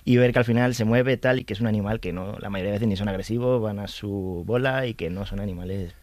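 A man's voice: lowish voice, fast, a little mellow, dry recording. A man with a Spanish accent from Spain, a guest expert explaining things informally in conversation.

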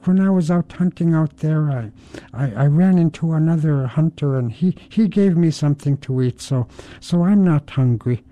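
A man putting on a high, stammering trickster raven. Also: normal speed, no room sound, dull audio, close to an everyday voice. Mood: reassuring. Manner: eager, stammering and wheedling. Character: lazy, tricky male raven, a smooth-talking husband.

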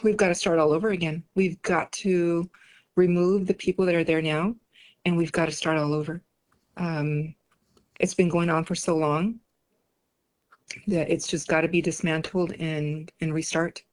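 A woman's voice: sits low, flat delivery, moderate pace. A woman from Mexico, Spanish her first language, answering interview questions as a political candidate, emphatic and repeating phrases.

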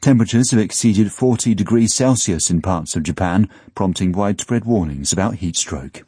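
A man's voice: deep voice, normal speed, warm tone, husky, dry recording, clean studio sound. A man reading a scripted news bulletin as a newsreader.

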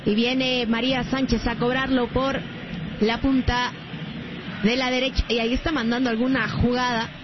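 A mid-pitched voice calls a football match play by play, stopping often for hesitant fillers. The broadcast sounds dull and lo-fi, with constant noise underneath. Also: normal speed. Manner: steady play-by-play, frequent fillers.

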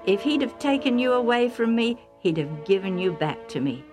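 A woman's voice: a little high, very animated, moderate pace, darkish tone, faint music behind, pitched above the performer's own voice. Devout, stubborn old storybook patriarch, emphatic and declamatory as acted by a female storyteller. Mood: devout, reminiscing. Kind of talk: dramatised Bible storytelling.

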